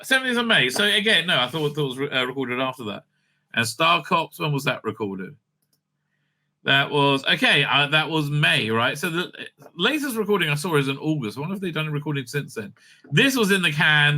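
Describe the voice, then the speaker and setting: A man's voice: on the high side, sharp tone. A man giving casual, unscripted commentary as host of a fan news show, rambling and thinking aloud in a blunt, sweary way. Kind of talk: casual unscripted commentary while browsing a screen.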